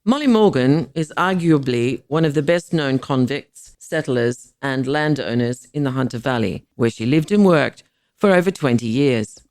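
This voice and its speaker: A man reading scripted history narration in a measured, formal way. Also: medium pitch, animated, darkish tone, no room sound, studio quality. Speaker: podcast narrator.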